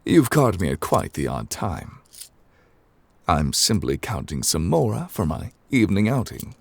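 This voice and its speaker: A man's voice: very animated, unhurried, no echo, heavily acted. A man voicing a courteous, absent-minded ancient god in human form for an ASMR roleplay, speaking formally and wordily with polite apologies. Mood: polite, unhurried. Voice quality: very low, creaky. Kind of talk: ASMR character roleplay, improvised.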